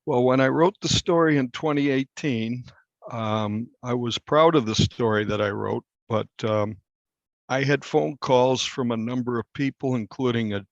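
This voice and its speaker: Man in his sixties, American accent, medium pitch, measured storytelling, interview guest, dry muffled recording. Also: vocal fry, dry recording. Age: 60s. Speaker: newspaper reporter interviewed as guest.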